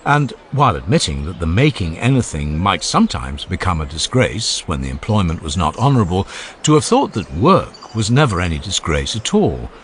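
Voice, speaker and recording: A proud older Athenian man, as a male narrator plays him argues his case in a low voice. He is formal, insistent and easily irritated, with emphatic rises and falls, in a dramatized audiobook with faint noise behind. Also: very animated, moderate pace. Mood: argumentative, insistent. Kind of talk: dramatized philosophical dialogue audiobook.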